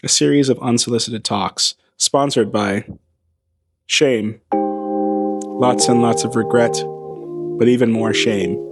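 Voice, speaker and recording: A man hosting an aviation show, talking casually and wryly, a little hoarse from losing his voice, recorded beside a plane in a hangar on a small wireless mic. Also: mid-range voice, slow, pauses often, loud music behind the voice. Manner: wry, playful, lively delivery. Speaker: aviation channel host. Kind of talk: casual monologue to camera.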